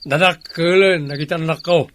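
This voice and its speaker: A familiar, plain-spoken village husband in an Ilocano radio drama, played by a man. His voice sits a little high, with an Ilocano Filipino accent and faint noise behind it. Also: fairly even intonation, normal speed, natural voice. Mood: defensive. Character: village working husband, defensive yet familiar.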